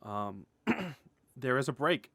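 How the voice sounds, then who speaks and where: A man with a medium-pitched voice talks off the cuff in a dry, echo-free recording. He sounds like a creator giving a candid update to viewers. His delivery is halting and informal, with sentences that break off and start again. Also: very animated, sharp tone. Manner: rambling, halting, self-interrupting, often chuckling and clearing throat; informal, mildly sweary, candid. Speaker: animator and web series creator. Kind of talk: casual update vlog, unscripted.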